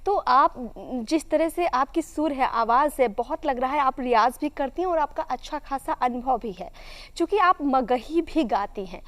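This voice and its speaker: A woman's voice: sits high, lively intonation, unhurried, hardly any pauses, a bit bright. A woman with an Indian accent hosting a broadcast interview, polite and appreciative, putting long questions to a guest. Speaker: TV interview host.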